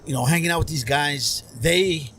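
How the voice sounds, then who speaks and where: A man's voice: on the high side, normal speed, fairly bright tone, a little hiss or hum. A middle-aged man, an Army veteran, talking earnestly and rambling with fillers as a podcast guest on a patio. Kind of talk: casual podcast conversation. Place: covered patio with string lights at night.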